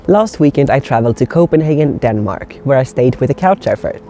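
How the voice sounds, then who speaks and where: A man hosts and narrates this video, delivering scripted voice-over in a measured, explanatory way. His tone is mellow and dark, sitting in a middle pitch range. Soft music plays faintly underneath his narration. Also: expressive.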